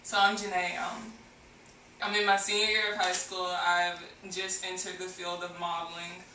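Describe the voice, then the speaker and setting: A seventeen-year-old woman, a high school senior, talks softly and hesitantly in a casual group chat. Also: little pitch movement, normal speed, a little hiss or hum. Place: living room, indoors. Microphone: far camera. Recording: echoey room, far from camera.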